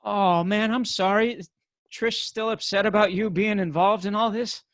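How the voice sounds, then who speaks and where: Man acting a high-pitched, bumbling, excitable activist. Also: moderate pace, no echo, studio quality. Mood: apologetic. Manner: rambling, excitable, stammering delivery. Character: bumbling, impulsive, sheepishly defiant young male activist. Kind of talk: comedic stage acting over video call. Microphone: video call microphone.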